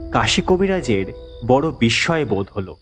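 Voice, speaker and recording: A man narrating a scripted horror story in a dramatic, measured, suspenseful manner. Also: mid-range voice, very animated, breathy edge, faint music behind.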